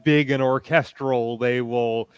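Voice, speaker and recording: A man speaking as a panelist, explaining things in a measured, thoughtful, casual way. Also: mid-range voice, fairly even intonation, smooth, no room sound. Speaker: convention panelist.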